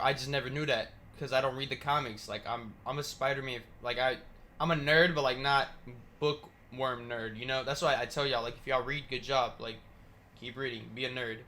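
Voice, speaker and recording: A man's voice: medium pitch, sharp tone, a little hiss or hum. A young adult man giving casual reaction commentary in a rambling, slangy, sweary way. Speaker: reaction video host.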